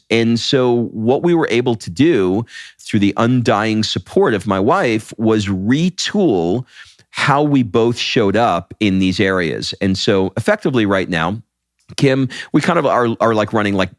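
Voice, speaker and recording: A man with a deep voice co-hosting a podcast, telling stories with animated energy and frequent restarts. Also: no room sound, clean studio sound. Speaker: podcast co-host. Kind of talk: casual podcast chat.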